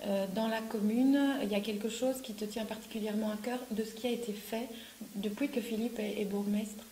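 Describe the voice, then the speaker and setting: A woman interviewer puts informal questions to a candidate, using filler words along the way. Her voice is mellow and mid-pitched. The room is echoey, with a faint hiss underneath. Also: fairly even intonation, brisk. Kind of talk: campaign interview.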